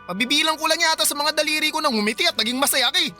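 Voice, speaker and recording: An actor voicing a defiant, sulky young man in a radio drama, talking back to his older sister with quick retorts. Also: sits high, bright, forceful tone, faint music behind. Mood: heated.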